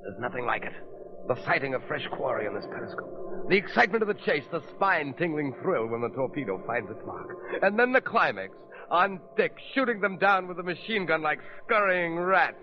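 An actor playing a cruel, megalomaniac pirate submarine commander in a grandiose 1930s radio drama style, barking orders and gloating. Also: male voice, fairly high pitch, big pitch swings, brisk. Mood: gleeful, bloodthirsty. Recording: muffled, noisy 1930s radio recording.

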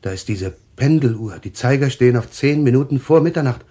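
An actor in a German radio play playing an anxious, troubled man haunted by visions, speaking haltingly with a husky voice. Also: medium pitch, lively intonation, dark tone. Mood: haunted, confused. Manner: halting, haunted, repeating himself.

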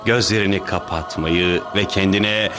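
A man's voice: sits low, fairly even intonation, a little slow, a crowd in the background. An actor playing an earnest, bombastic, goofy male superhero in a cartoon dub, theatrical and prone to fluster. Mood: solemn. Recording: music under the voice.

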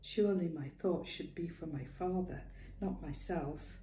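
A woman voices an anxious young wife, earnest and pleading, her voice raised and unsteady in pitch. She speaks slowly into a roomy, echoing space. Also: dark tone, a little hiss or hum, natural voice. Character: anxious young pregnant working-class wife. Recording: roomy echo.

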